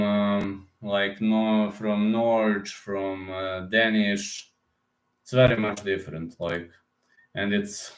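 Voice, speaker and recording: A man chatting casually on a live stream, rambling and often repeating words as he restarts sentences. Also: lowish voice. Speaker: MMA fighter and betting-picks streamer. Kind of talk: casual live-stream chat reading comments. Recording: echoey room.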